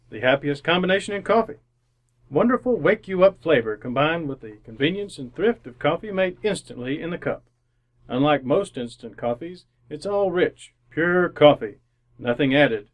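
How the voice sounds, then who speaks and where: A man announcing in old-time radio style, reading scripted sponsor spots, narration and credits. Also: fairly high pitch. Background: music.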